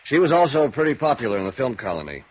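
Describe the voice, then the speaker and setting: Blunt American police sergeant, muffled radio. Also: male voice, mid-range voice, big pitch swings, quick pace, husky. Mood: blunt, skeptical. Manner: blunt, terse. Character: skeptical, gruffly practical male police sergeant. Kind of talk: 1950s radio noir acting. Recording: dull, faintly noisy vintage radio recording.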